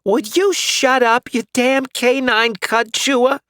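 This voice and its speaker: A man's voice: extremely high pitch, steady tone, takes it slowly, sharp tone, clear, resonant tone, no room sound, studio quality, pitched above the performer's own voice, full character voice. A man voicing a foul-mouthed, suspicious old woman neighbor who curses and mutters. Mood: cranky cursing.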